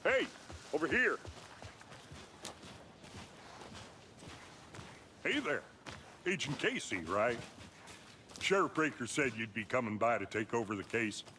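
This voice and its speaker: An actor playing a folksy, bumbling, eager small-town deputy with a stammering, awkward manner in a video game. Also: male voice, mid-range voice, sing-song, moderate pace, noisy background, close to an everyday voice. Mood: friendly. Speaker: sheriff's deputy. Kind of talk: cinematic video game acting.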